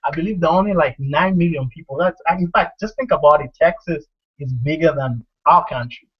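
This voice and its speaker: A man's voice: on the high side, a little fast, breathy edge. Upbeat Zambian-accented man, lo-fi call. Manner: upbeat, motivational, repeats himself. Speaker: host. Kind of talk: casual online group call. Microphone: computer mic on a video call.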